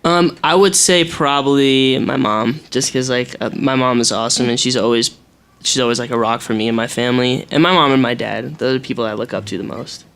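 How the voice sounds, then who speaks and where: A man's voice: medium pitch, fairly quick, creaky, a bit bright, clear, resonant tone. A young man, a musician guest in a radio studio, answering casually and politely at a desk microphone. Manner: easygoing, laughs often, polite. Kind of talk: casual interview chat. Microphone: studio desk mic.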